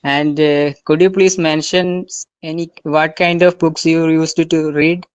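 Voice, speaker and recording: A young man asks his question in a polite, hesitant way. His voice is high and flat, and he sounds muffled and far from the mic. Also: a little slow, smooth, no echo. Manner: polite, hesitant, uses sir. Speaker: student asking a question.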